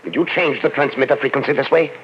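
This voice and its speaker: An actor playing a calm, questioning professor in a 1940s radio drama. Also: male voice, medium pitch, fast, natural voice. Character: calm, puzzled old male scientist professor. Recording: dull old radio recording, hissy.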